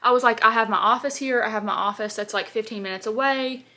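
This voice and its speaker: Woman in her twenties, sassy beauty chat, bright clear tone, slightly high voice. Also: fast talker. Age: 20s. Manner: chatty, sassy, animated asides. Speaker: beauty vlogger. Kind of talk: casual get-ready-with-me chat. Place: home, at her parents' house.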